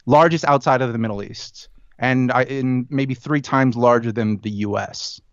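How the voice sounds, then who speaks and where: A man's voice: medium pitch, moderate pace, smooth, dry recording, dull audio. A man in his thirties, a podcast guest, talking casually and thoughtfully with many fillers and restarts. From United States and Chile. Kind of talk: casual conversation.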